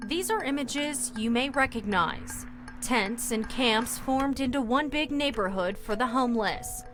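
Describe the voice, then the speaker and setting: A woman's voice: a little high, unhurried. A woman news reporter giving serious, scripted narration for a television news feature, over a music bed.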